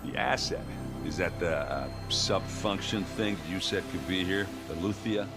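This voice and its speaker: An actor playing a gruff, easygoing, loyal warrior sidekick in a video game, wry, colloquial and plain-spoken. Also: male voice, low-pitched, a little slow. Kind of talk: video game cinematic acting. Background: game soundtrack music.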